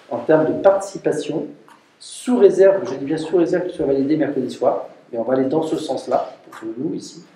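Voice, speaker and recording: A man's voice: on the high side, warm tone. A man speaking as a mayor at a council meeting, explaining things off the cuff in an emphatic way and repeating himself. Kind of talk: formal council briefing, spoken off the cuff. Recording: roomy, distant mic, faint noise and clicks.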